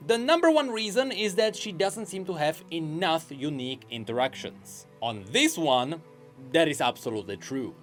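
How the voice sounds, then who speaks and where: A man's voice: fairly high pitch, expressive, normal speed, faint music behind. A man narrating a scripted commentary video about game character lore, eager and explanatory.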